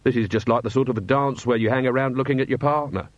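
A man playing a gruff, cynical city watch commander who talks in a blunt, grumbling way. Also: mid-range voice, quick pace, dry recording, lightly acted. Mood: wary, incredulous.

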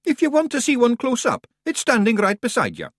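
A rowdy little Scots fairy. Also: male voice, very high-pitched, wildly swooping pitch, a little fast, no room sound, studio quality, pitched above the performer's own voice, full character voice. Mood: matter-of-fact. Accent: Scottish. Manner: cheeky, broad dialect, jaunty. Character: tiny rowdy Scots fairy warrior man. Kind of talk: audiobook character voice.

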